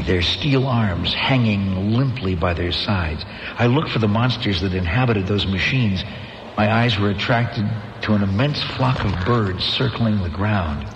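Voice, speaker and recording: A weary, somber survivor. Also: male voice, fairly low pitch, highs cut off, background noise. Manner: somber, measured first-person narration. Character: weary man surviving a Martian invasion. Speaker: narrator and lead of the radio drama. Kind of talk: radio drama narration. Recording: music bed under the voice.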